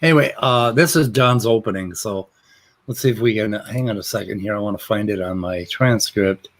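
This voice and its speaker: A male host with a low voice chats casually. He rambles and often stops and restarts his sentences. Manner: rambling, halting restarts, laughs easily. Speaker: host of a debate review stream. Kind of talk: casual chat.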